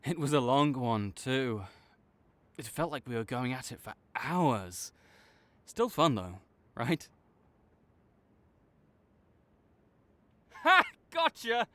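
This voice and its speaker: A man playing an arrogant, teasing supervillain rival with a soft spot, speaking theatrically to the listener in an audio roleplay. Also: medium pitch, big pitch swings, slow, no room sound. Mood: playful, gloating. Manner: smug, teasing, theatrical with sighs. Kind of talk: dramatic roleplay monologue spoken to the listener.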